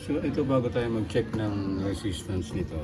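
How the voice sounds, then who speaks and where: A Filipino man giving a casual hands-on repair walkthrough, speaking haltingly and repeating himself as he works. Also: fairly low pitch, expressive, loud music behind the voice. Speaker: electronics repair technician and vlogger.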